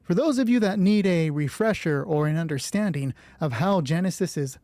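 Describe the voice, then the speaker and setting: A man narrating a solo tabletop roleplay as its host, moving between hushed dramatic storytelling and chatty explanations of the dice. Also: fairly high pitch, lively intonation, moderate pace, no echo, studio quality.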